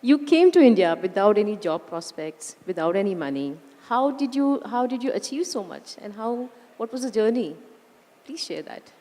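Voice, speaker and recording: A woman's voice: mid-range voice, expressive, moderate pace, mellow. A woman hosting an onstage interview, asking polite, curious questions. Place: event hall. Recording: long hall reverb.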